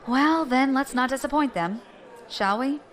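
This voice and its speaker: A woman's voice: high-pitched, a little slow. A woman playing a shrewd clan leader in a science fiction audio drama, with dry, casual authority. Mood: confident. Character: shrewd, wry, confident female clan leader.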